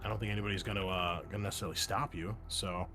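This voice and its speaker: A man's voice: deep voice, steady tone, racing, sharp tone, faint music behind. A man narrating as game master of a tabletop role-playing game, rambling a bit with fillers as he describes the scene. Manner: loose, rambling with many fillers.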